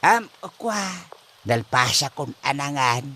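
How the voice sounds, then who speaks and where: A man's voice: medium pitch, expressive, takes it slowly, bright, forceful tone, full character voice. A man playing a forgetful, evasive old husband in a comedy radio drama, stammering his way through excuses. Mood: evasive, feeble. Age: older. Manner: bumbling, stammering evasions with many interjections.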